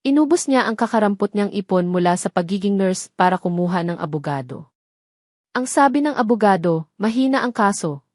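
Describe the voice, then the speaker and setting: A woman's voice: mid-range voice, a little mellow, dry recording, clean studio sound. A woman reading scripted dramatic story narration as the narrator.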